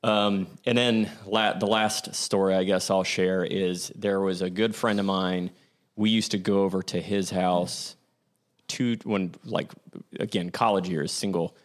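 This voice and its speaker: A man's voice: sits low, steady tone, unhurried. A man in his twenties hosting a show, rambling through personal stories with many fillers and self-corrections. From Ohio, USA. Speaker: podcast host. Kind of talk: casual storytelling monologue.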